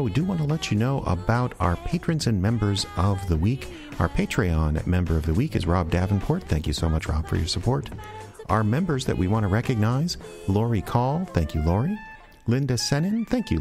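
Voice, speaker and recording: A man's voice: fairly low pitch, a little mellow. A man hosting a podcast, delivering a scripted introduction and thank-yous to listeners. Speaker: podcast host. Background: faint music.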